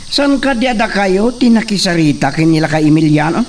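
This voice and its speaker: A man's voice: high-pitched, animated, brisk, a little hiss or hum. A man acting a haughty young hacienda master in an Ilocano drama, with an Ilocano accent. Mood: questioning, authoritative.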